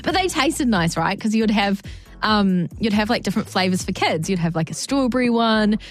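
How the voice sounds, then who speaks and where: A woman's voice: mid-range voice, expressive, moderate pace, soft background music. A woman co-hosting a radio show in a studio, chatting casually, laughing and telling stories. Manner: chatty, laughs often, storytelling. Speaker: radio co-host.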